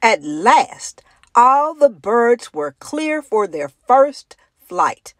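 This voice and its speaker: A woman reads a children's picture book in a highish, very expressive voice. She takes her time as a story hour narrator, and the sound is dry and close. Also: drawn-out words, no echo.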